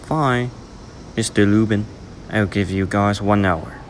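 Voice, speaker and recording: A man's voice: on the low side, fairly even intonation, slow, slight background noise. A man playing a tired, reluctant crime scene cleaner in an amateur radio drama. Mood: grudging. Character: weary, grudging male crime scene cleaner.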